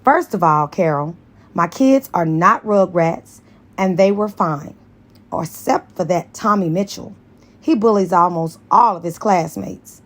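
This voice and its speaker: Woman reading as a lively, confident young teacher. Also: fairly low pitch, a little slow. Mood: firm. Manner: lively, playful, assertive. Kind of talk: dramatized audiobook dialogue.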